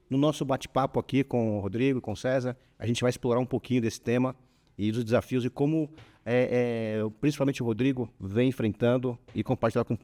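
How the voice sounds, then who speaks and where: A Brazilian man hosting a corporate talk, speaking formally and measured with hesitant fillers. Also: medium pitch, mellow. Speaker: host, company product director.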